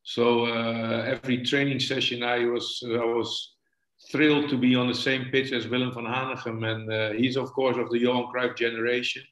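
A man in his sixties with a Dutch accent, a football coach answering interview questions haltingly, often stumbling and repeating words. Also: medium pitch, flat delivery, a bit bright. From Netherlands. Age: 60s. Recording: echoey room, dull lo-fi connection.

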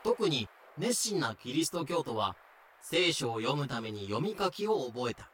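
A man's voice: medium pitch, sing-song, unhurried, fairly bright tone, slightly rough. A man reading scripted explanatory narration in a wry, matter-of-fact way. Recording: music behind the voice.

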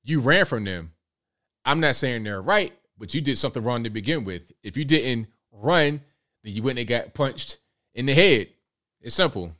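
Late-30s male streamer, relaxed conversational delivery, repeats his points. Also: medium pitch, normal speed. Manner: laid-back, conversational, repeats himself. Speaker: livestream host. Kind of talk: casual talk reading viewer comments.

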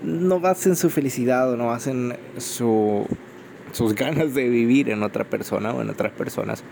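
A man's voice: medium pitch, moderate pace, gravelly, a little mellow, slight background noise. A Salvadoran man with a Salvadoran Spanish accent hosting an unscripted solo podcast, rambling with frequent fillers, recorded on a phone microphone. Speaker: podcast host.

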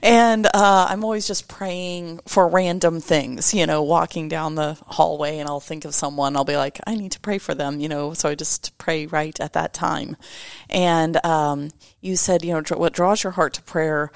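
A woman's voice: deep voice, fairly even intonation, normal speed, gravelly, dry recording, clean studio sound. A woman who works at a church, talking as a podcast guest in an easygoing, outgoing way. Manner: easygoing, extroverted, chatty. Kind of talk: casual podcast interview.

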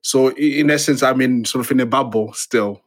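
A man from Zimbabwe, a playwright being interviewed, talking reflectively and haltingly with many restarts and fillers. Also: medium pitch. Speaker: playwright guest.

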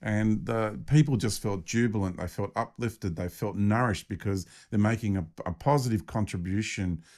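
A man with a fairly low voice hosts a spiritual podcast. He is effusive and affirming, praising his guest, and stumbles over repeated words as his thoughts run ahead. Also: moderate pace, darkish tone. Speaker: podcast host.

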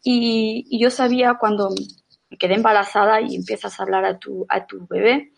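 A woman's voice: medium pitch, level tone, normal speed, creaky. A middle-aged woman from Menorca, chatting as a podcast guest and often restarting her sentences. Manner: chatty, with frequent restarts and fillers. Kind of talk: casual conversation.

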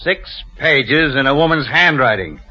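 An actor playing a hard-boiled publicity agent in an old radio drama, blunt, impatient and scornful. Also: male voice, medium pitch, expressive, unhurried, pushed and bright, breathy edge, soft background music, full character voice. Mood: contemptuous, impatient. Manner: blunt, brusque, dismissive. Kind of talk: 1940s radio drama acting. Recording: muffled old radio recording.

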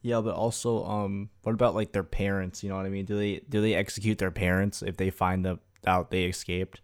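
A man's voice: deep voice, flat delivery, fairly quick. A man co-hosting a podcast, chatting casually and asking curious questions, often apologizing as he cuts in. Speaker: podcast co-host. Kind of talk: casual chat.

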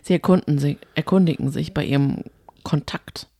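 A woman co-hosting a casual podcast chat, reacting quickly and giving her opinions freely. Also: low voice, steady tone, warm tone, no room sound. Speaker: podcast co-host. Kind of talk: casual chat about a radio play series.

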